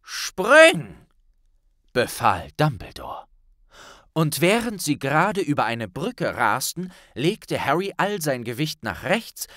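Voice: mid-range voice, fairly bright tone, dry recording, clean studio sound. An audiobook narrator reading a dramatic scripted story.

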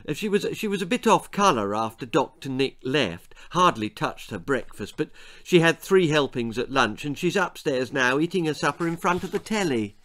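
A man's voice: animated, normal speed, hardly any pauses, fairly bright tone. A man voicing a fussy, flustered, doting mother in a children's storybook. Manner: shrill, flustered.

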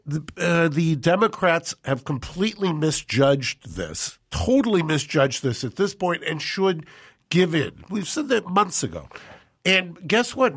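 An older man co-hosting a podcast in a studio, talking into a boom mic, indignant and full of rhetorical questions. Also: slightly rough. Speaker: co-host. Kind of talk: casual podcast talk. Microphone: studio boom mic with headphones.

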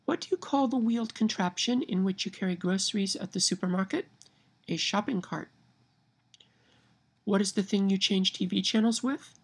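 Midwestern lady w nasal twang, kinda husky and gravelly, reading slow into her webcam at home. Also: mid-range voice. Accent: Midwestern American. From Kansas. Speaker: vlogger. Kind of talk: reading a word list and a novel passage aloud. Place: home room by window blinds, indoors. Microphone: webcam at arm's length.

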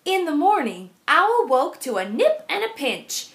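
A woman reading a picture book aloud to children as a teacher, expressive and emphatic. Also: sits high, measured pace.

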